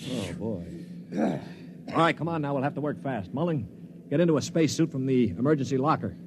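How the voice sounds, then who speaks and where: A man's voice: medium pitch, animated, brisk, natural voice. A male actor playing a tense, decisive leader of captive men in a 1950s radio drama, urgent and commanding. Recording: noisy old 1950s radio recording.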